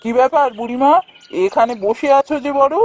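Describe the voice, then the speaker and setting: A man's voice: very high voice, fairly quick. A man playing an earnest, polite young prince in a cartoon fairy tale. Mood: pondering. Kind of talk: animated fairy tale voice acting.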